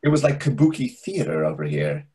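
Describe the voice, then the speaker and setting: A man's voice: lowish voice, big pitch swings, brisk, dark tone, breathy edge, heavily acted. A man playing a vain, catty, sarcastic waiter of about forty in a readers theatre comedy over a video call. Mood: mocking.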